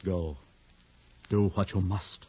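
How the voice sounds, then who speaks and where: A man's voice: low-pitched, steady tone, mellow, husky, exaggerated voice. A man in a 1950s radio drama playing a brooding, theatrical conspirator who speaks in grandiose, poetic, domineering phrases. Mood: grandiose, coaxing. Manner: grandiose, slow, deliberate, domineering. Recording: dull, noisy 1950s radio recording.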